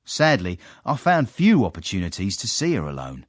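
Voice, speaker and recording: An actor playing a proud, self-important Victorian gentleman with an English accent, narrating and speaking formally in a period radio drama. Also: low-pitched, wildly swooping pitch, normal speed, dry recording, studio quality, exaggerated voice. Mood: wry, self-satisfied.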